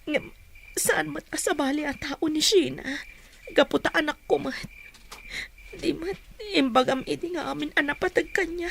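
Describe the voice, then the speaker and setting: Woman acting a high-voiced, distressed young mother. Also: moderate pace, creaky, a bit bright, a little hiss or hum. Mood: pleading, upset. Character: distressed, pleading mother standing up for her child. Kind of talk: audio drama acting.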